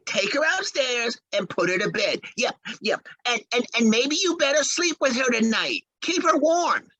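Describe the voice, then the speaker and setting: A woman playing a simple, stubborn young husband devoted to his mama in an old-time radio horror play, naive, folksy and excitable. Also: fairly high pitch, normal speed, no echo, poor audio. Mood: anxious, fretful.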